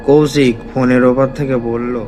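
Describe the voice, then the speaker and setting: A man's voice: mid-range voice, flat delivery, moderate pace, over music. A man narrating a scripted village ghost story as a horror storyteller.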